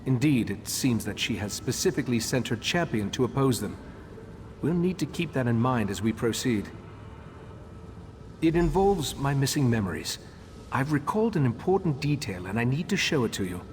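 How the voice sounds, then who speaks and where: A man's voice: medium pitch, very animated, natural voice. Man acting a formal elf mage, reverberant. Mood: pensive. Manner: formal, measured, musing. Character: scholarly male elf ritemaster, formal and pensive. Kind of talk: video game voice acting. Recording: long hall reverb, faint background noise.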